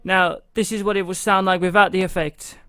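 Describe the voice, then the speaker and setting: Male producer explaining his workflow, casual and meandering, high pitch, faint beat underneath. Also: little pitch movement, brisk, music in the background. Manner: rambling, loose, full of "you know" and "like". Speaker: music production tutorial host. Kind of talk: casual step-by-step software tutorial. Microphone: studio microphone into a recording channel.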